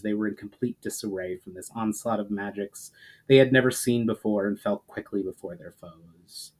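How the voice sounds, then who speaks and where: A man narrating fantasy fiction as a storyteller, in a dramatic, measured and expressive reading style. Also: mid-range voice.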